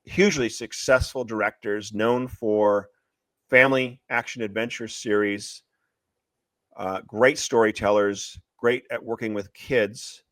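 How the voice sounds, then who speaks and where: A man talking as an interviewed author and screenwriter, retelling a story in an excitable, emphatic, repetitive way. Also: fairly low pitch, unhurried, dry recording. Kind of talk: animated promotional interview talk, retelling a story.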